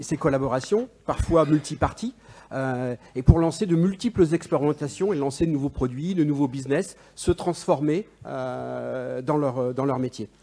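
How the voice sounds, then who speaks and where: A man pitching his startup on stage, hesitant, with many fillers and restarts. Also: warm tone. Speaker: startup founder. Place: event stage.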